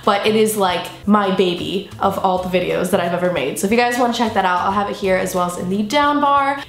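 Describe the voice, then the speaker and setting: A young woman, slightly nasal from a cold, chatting casually and playfully to the camera as a vlogger. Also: mid-range voice. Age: young adult. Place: living room or bedroom indoors. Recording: roomy indoor echo.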